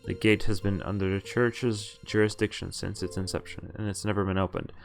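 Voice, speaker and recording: A man's voice: fairly low pitch, flat delivery, brisk, gravelly, faint music behind. A man streaming a visual novel, reading its text aloud and adding casual, wry asides, often stumbling over names and correcting himself.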